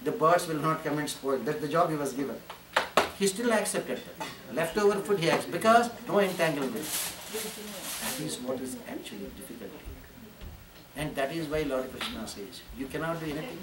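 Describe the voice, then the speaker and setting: A man's voice: fairly high pitch, normal speed, heavy background noise. A man from India, giving a sermon as a spiritual teacher, repeating phrases and chanting Sanskrit verses. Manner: repetitive, animated preaching with chanting. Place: ashram hall. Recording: roomy hall, distant mic, audience.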